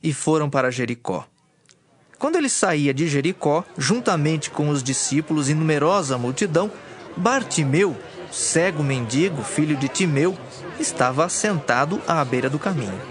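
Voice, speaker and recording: A man's voice: fairly high pitch, expressive, moderate pace, a faint crowd. A man with a Brazilian accent narrating scripted, dramatized scripture. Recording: clear music bed behind the voice.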